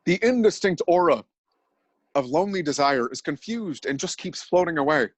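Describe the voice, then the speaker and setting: A man narrating a scripted dramatic reading, telling absurd events in an earnest, deadpan way. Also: a little high, moderate pace, dry recording.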